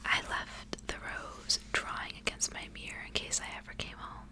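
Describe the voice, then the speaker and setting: Female ASMR reader needed, whispering throughout, soft and measured. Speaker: ASMR host reading a storybook aloud.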